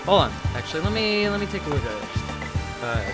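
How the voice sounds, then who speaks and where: A hoarse young man with a sore throat, rambling and laughing at himself as he comments on a game from a headset at home. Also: high voice, sing-song, moderate pace. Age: young adult. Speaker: streamer. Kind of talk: casual gameplay commentary. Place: room at home. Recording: game music playing behind.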